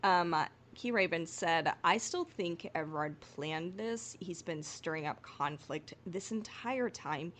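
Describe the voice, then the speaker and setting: A woman's voice: lowish voice, expressive, slow, few pauses, fairly bright tone, slight background noise. A woman co-hosting a casual fan podcast, chatting in a playful, teasing way. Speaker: podcast co-host.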